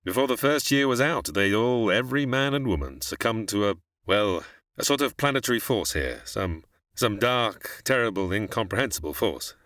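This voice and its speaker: Male actor as reclusive sci-fi scientist, middle-aged, formal, theatrical, lowish animated voice, radio-play style. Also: moderate pace, no room sound, clean studio sound. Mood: grave, haunted. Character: cultured, guarded middle-aged scientist, widowed father on remote planet. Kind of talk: old-time radio sci-fi acting.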